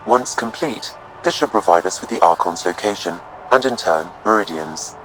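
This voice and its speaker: An actor voicing a calm, formal, helpful artificial intelligence with measured delivery in a video game. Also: male voice, low-pitched, slight background noise, poor audio. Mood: calm, informative.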